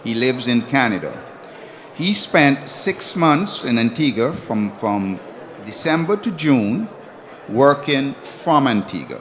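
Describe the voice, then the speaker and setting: A man's voice: medium pitch, big pitch swings, takes it slowly. A man speaking on a conference panel, explaining a point through a personal example. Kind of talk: panel discussion. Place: conference hall.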